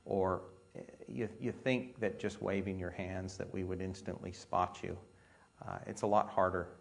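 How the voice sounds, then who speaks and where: An American man, a military pilot, giving an informal storytelling talk in a conversational, halting manner full of asides. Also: sits low, fairly even intonation, gravelly.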